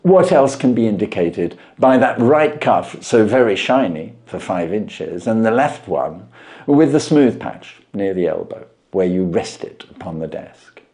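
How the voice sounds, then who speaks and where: Casting: male reader as brilliant gentleman sleuth for a storybook. Precise, self-assured, dryly amused, swinging intonation. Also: on the low side, expressive, measured pace, pitched above the performer's own voice. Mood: explaining. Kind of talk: storybook character voice.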